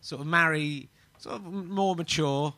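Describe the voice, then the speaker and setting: A man's voice: a little high, normal speed, bright, forceful tone. A man, hosting a live comedy podcast in front of an audience, teasing and laughing often. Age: 40s. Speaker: podcast host.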